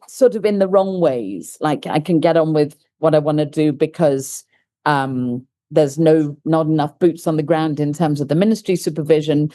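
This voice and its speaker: A woman's voice: low voice, mellow. A woman panelist speaking emphatically and conversationally about school leadership, with some fillers. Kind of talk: panel discussion answer.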